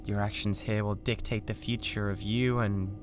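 A British man playing a cold, condescending mad scientist with a god complex in an ASMR roleplay, speaking in a deliberate, theatrical way. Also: on the low side, level tone, noisy background, close to an everyday voice. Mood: grandiose, controlled. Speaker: ASMR voice actor. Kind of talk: ASMR roleplay, scripted drama.

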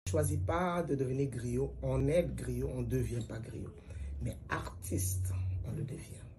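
A middle-aged woman from Mali, a singer and griot, giving measured, reflective interview answers with pauses. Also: rumbling low, slight background noise. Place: plain indoor room, seated at a table.

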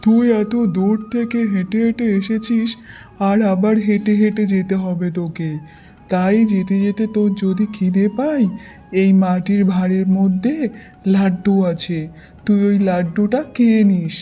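A woman voicing a kindly, fatherly old village man in a cartoon fairy tale, speaking gently. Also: medium pitch, fairly even intonation, normal speed, lightly acted. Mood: kindly, caring. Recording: music behind the voice.

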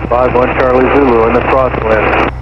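A man's voice: mid-range voice, flat delivery, moderate pace. A man, a pilot, making terse position calls over an aircraft radio. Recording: muffled aircraft radio with engine noise.

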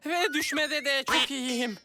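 A woman voicing an excitable, boastful talking cat in a children's cartoon, theatrical and comic. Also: high-pitched, slow, slight background noise, exaggerated voice.

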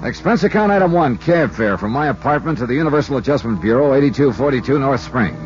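Actor as breezy 1950s radio detective, lively American. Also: male voice, medium pitch, fast, lo-fi sound, natural voice. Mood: wry narration. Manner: breezy, wry, clipped storytelling delivery. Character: wisecracking freelance insurance investigator, fond of fishing. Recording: band-limited 1950s radio recording with music.

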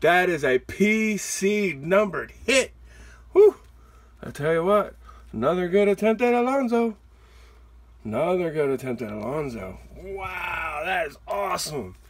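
A man hosting a card-opening video with excited, playful casual commentary, given to exclamations. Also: high voice, a little slow, a little hiss or hum. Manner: exuberant, exclaiming and whooping, playful. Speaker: host of a trading card opening channel. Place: indoors, at a table with cards.

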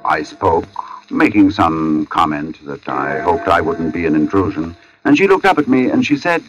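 Low-voiced, grave artist telling his tale. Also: big pitch swings, normal speed. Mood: puzzled, measured. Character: artist recounting an uncanny encounter, grave and measured. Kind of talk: dramatic first-person radio narration. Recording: dull old radio recording, music behind.

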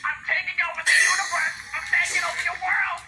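A woman's voice: very high voice, level tone, brisk. A woman voicing a boastful, scheming mad scientist villain in a comedy fan dub, crude and ranting. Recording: fan dub with loud music behind.

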